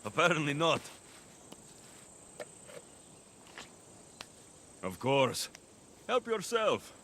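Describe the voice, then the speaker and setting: An actor playing a wry, defiant young ancient mercenary in a video game. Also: male voice, on the high side, sing-song, measured pace, bright, forceful tone, a little hiss or hum, exaggerated voice. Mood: weary, wry. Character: gruff, sardonic young male ancient Greek mercenary. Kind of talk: video game character acting.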